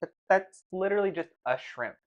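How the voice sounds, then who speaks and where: A man chatting casually on a livestream in a halting, grumbling manner, often repeating his words. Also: high voice, lively intonation, dull audio. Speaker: streamer. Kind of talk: casual chat while tasting food on a livestream.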